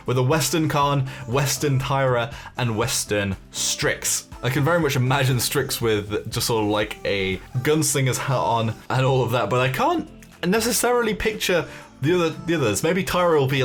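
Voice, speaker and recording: A man's voice: medium pitch, fairly bright tone. A man hosting a gaming video, giving casual, speculative news commentary in an informal, tentative way, as if thinking aloud. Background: music behind the voice.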